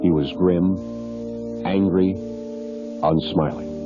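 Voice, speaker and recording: A deep-voiced American man narrates history from a script in a dramatic, grave way. He pauses deliberately for effect. Loud music plays behind him, and the recording is dull and old. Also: fairly even intonation. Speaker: documentary narrator.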